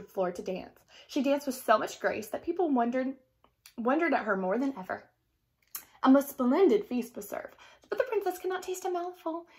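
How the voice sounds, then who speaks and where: A young woman reading a children's story aloud in an expressive, theatrical manner. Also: sits high. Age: young adult. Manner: theatrical, explanatory asides. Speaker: storybook reader. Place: small indoor room at home.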